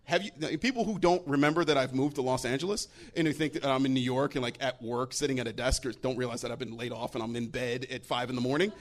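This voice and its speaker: A man's voice: medium pitch, fast, hardly any pauses. An American man telling a humorous story as a guest on a live panel.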